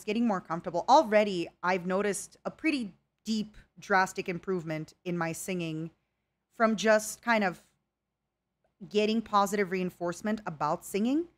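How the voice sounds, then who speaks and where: A woman's voice: mid-range voice, normal speed. A young woman streaming a casual chat with viewers, rambling and reading out chat messages. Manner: chatty, rambling, reads chat aloud.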